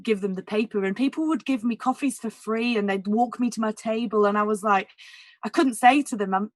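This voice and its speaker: A woman jazz singer with a naturally husky voice, talking candidly in a casual interview, full of "like" and "you know". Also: a little high, fairly quick, dry recording. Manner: candid and emotional, many "like" and "you know" fillers.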